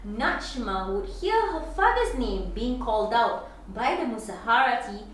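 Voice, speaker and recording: A woman reads a children's story in a high-pitched voice with lively, swooping intonation. She takes her time over each phrase, expressive and deliberate. The sound is very reverberant, as if recorded in a bare echoey room. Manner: slow, deliberate, animated phrasing with sing-song lines. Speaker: storyteller reading a picture book aloud. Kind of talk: expressive children's picture-book read-aloud. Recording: very echoey room, distant mic, background noise.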